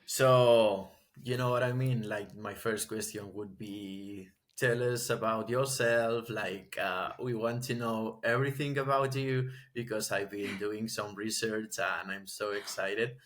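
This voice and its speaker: A man's voice: on the low side, steady tone, a little slow, hardly any pauses. A man chatting casually and asking questions as a guest interviewer on a podcast. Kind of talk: casual friendly interview chat.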